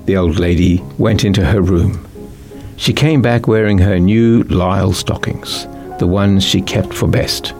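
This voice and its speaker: A man's voice: deep voice, unhurried, a little mellow, soft background music. A man with a New Zealand accent reading a children's story as scripted narration. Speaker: author reading own book.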